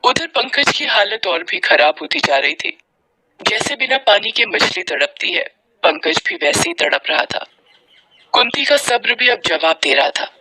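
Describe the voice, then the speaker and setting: A man narrating a scripted moral story as a storyteller, in a dramatic, expressive manner. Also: very high voice, measured pace, rough recording.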